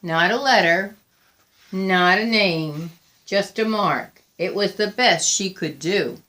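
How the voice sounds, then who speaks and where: A woman reading a picture book aloud as a storyteller, deliberate and expressive, with frequent pauses. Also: medium pitch. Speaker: volunteer storybook reader.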